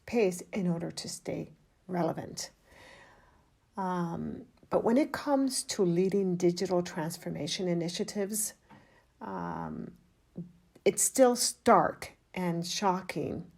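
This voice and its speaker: A woman hosting a podcast interview, asking questions in a measured, deliberate way. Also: on the low side, gravelly, darkish tone. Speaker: podcast host.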